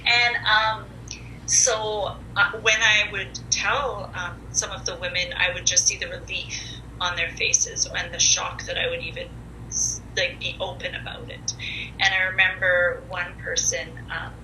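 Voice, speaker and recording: Guest, woman in her forties, loud and very bright voice, candid and chatty, echoey room. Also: fairly low pitch, flat delivery, measured pace. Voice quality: loud, comfortable speaking voice. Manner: chatty and candid, with frequent fillers. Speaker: podcast guest. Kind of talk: casual conversational storytelling. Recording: echoey room with faint noise.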